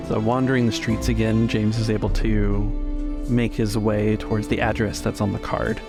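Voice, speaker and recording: A man narrating a tabletop role-playing game as game master, describing scenes haltingly in the present tense. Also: on the low side, little pitch movement, vocal fry, over music.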